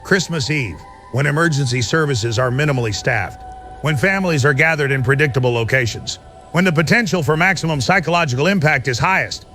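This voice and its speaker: An actor playing a paranoid, fervent conspiracy theorist delivering an urgent bunker broadcast in an audio drama, with a gravelly voice. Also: male voice, on the high side, expressive, fairly quick, sharp tone, faint music behind. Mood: grimly insistent. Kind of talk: audio drama monologue as pirate radio broadcast.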